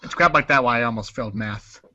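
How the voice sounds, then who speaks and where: A man's voice: medium pitch, fast talker, sharp tone, dry recording. A man who is a cast reader, bantering casually during a readers' theater show. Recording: dull, band-limited audio.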